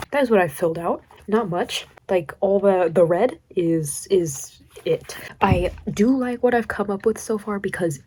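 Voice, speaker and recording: A woman's voice: on the low side, a little mellow, no echo. A tired young woman recovering from a cold, rambling casually about her writing on a late-night vlog. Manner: rambling, self-deprecating, many "like"s. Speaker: writing vlogger.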